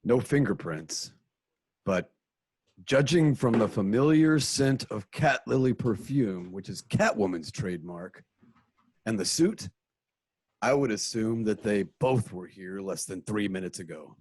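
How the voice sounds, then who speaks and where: Batman for a radio play: a man doing a low, husky, dry-voiced caped crusader who reasons with mock gravity. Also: lively intonation, a little mellow, dry recording. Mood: deadpan. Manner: deliberate, mock-serious deductions. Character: Batman, grave adult male crime-fighter, smug deductive. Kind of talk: amateur radio play reading. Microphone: home mic, dry room.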